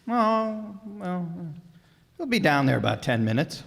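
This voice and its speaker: A man playing a goofy, easygoing, mischievous sidekick with a hesitant, drawn-out way of talking in an old-time radio comedy. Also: high voice, very animated, vocal fry, heavily acted. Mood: nonchalant. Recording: noisy live hall, long reverb.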